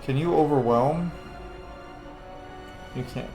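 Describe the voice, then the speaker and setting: Male gaming host, casual and sweary, chatting to his characters, roomy echo, music clearly behind. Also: a little high, slow pace. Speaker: gaming video host playing a role-playing game. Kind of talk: casual gameplay commentary.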